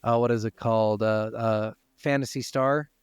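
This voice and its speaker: A man's voice: mid-range voice, fairly even intonation, normal speed, clean tone, dry recording, clean studio sound. A man hosting a casual gaming podcast, moving between informal, sweary chat and reading from notes. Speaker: podcast host.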